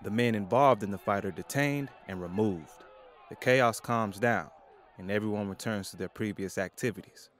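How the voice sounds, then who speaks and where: Low-voiced man narrating an audio drama. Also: moderate pace.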